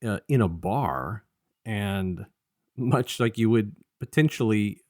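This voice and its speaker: A man co-hosting a casual review podcast, talking in a halting, rambling way and often restarting his sentences. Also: on the low side, lively intonation, a little mellow, dry recording, studio quality. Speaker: podcast co-host.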